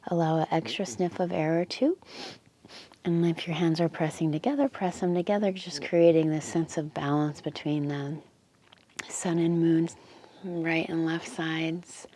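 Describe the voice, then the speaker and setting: Low-voiced woman guiding a meditation. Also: steady tone, mellow. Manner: unhurried, informal, pausing between phrases. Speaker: meditation guide. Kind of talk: guided meditation, gentle instruction. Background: wind chimes and ambient noise.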